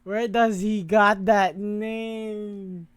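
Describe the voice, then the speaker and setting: A man giving casual, off-the-cuff reaction commentary as host, slangy and quick to swear. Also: high-pitched, little pitch movement.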